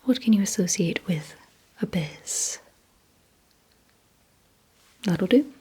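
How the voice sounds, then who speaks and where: A woman whispering breathily in an ASMR roleplay, giving instructions deliberately and repeating words with pauses, close to the microphone. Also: medium pitch, expressive, mellow. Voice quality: breathy whisper, mostly unvoiced. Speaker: ASMR creator leading a word-guessing game.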